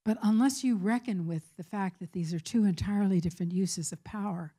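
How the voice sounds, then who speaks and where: An older woman speaking as a professor at a public talk, answering a question in deliberate, measured, emphatic phrases. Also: medium pitch, warm tone, husky. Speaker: academic speaker, professor of peace studies.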